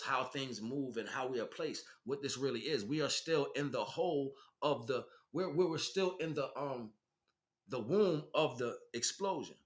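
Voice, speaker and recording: Bright-voiced man giving an emphatic spiritual lecture. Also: medium pitch. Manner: emphatic, preaching with repeated phrases and rhetorical questions. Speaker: spiritual teacher.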